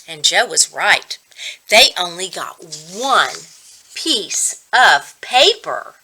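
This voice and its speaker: A woman reading a children's story aloud as a reading coach, deliberate and expressive. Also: fairly low pitch, husky, poor audio.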